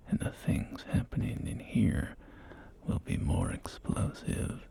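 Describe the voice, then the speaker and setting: A man playing a polite, salesy post-apocalyptic real estate agent in a whispered ASMR roleplay, with hesitant, soft-spoken patter. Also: takes it slowly, lightly acted. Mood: suggestive, sly. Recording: close whisper under loud music. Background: loud music.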